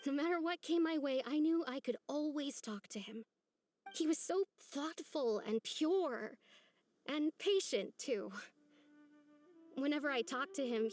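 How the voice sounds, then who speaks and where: A gentle young village woman from a video game, played by an actress, speaks in a high, soft voice. She is earnest and wistful, choosing words with some hesitation. Faint music plays behind her. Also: lightly acted. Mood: fond, wistful.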